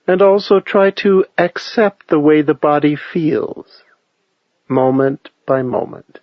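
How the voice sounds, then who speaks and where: Male meditation guide, slow and measured delivery, calm instruction, dry and slightly muffled sound. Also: fairly high pitch, dry recording. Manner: unhurried, measured phrasing with long pauses.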